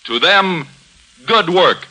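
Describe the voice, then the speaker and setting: A male announcer from 1940s radio delivers a scripted patriotic message. His voice is fairly high and intensely forceful, with sweeping emphatic intonation and a formal, declamatory manner. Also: expressive, takes it slowly, low-quality recording. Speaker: sponsor announcer. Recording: vintage 1940s radio broadcast recording.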